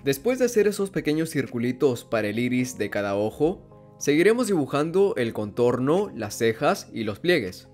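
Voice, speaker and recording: A man narrating a step-by-step drawing tutorial in a calm, instructional voice-over. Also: medium pitch, normal speed, soft background music. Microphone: voice-over over hands-on drawing footage.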